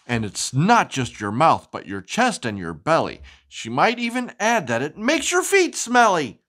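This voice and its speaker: Very animated, low-pitched woman reading storybooks. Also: measured pace. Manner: animated, sing-song, asks the child questions. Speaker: host reading storybooks to a child. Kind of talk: expressive children's storybook read-aloud with chat.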